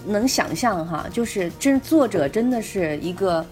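A woman's voice: mid-range voice, expressive, moderate pace, a little mellow, faint music behind. A woman co-hosting a podcast in casual discussion, repeating words as she works out her thoughts. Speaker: podcast co-host.

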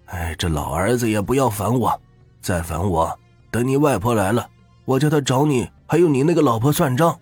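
A man voicing a frail dying old woman in a spoken ghost story, talking slowly in a trailing elderly way. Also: medium pitch, lively intonation, darkish tone, husky, no echo, faint music behind, voice pushed up. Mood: scolding.

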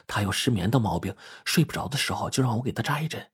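A quick-thinking young woman on the run, played by a male storyteller. The pitch is lifted and the delivery hushed. She sounds bold, urgent and matter-of-fact, as in an audio story. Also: wildly swooping pitch, creaky, breathy edge, exaggerated voice.

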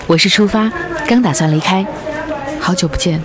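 A woman's voice: lowish voice, moderate pace, over a noisy crowd, natural voice. A woman playing a polite, hesitant old acquaintance in a radio drama. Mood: awkward, polite. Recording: noisy public ambience behind.

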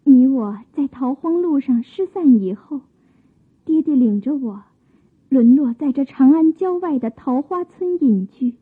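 Woman doing shy sweet-mannered maiden from old china, high voice, radio drama, muffled narrow sound. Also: animated, measured pace. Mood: wistful, recounting. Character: gentle young maiden of old China, modest, shy pipa player. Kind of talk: Chinese radio drama acting.

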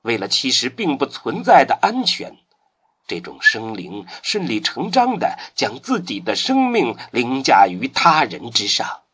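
A man giving expressive, dramatic scripted narration for a radio drama, phrased with deliberate pauses. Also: medium pitch, husky. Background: music.

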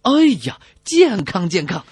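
A man voicing an over-eager flatterer who gushes fawning, exclamatory praise in a radio comedy sketch. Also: high-pitched, unhurried, slightly rough, dry recording, studio quality, exaggerated voice. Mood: gushing admiration.

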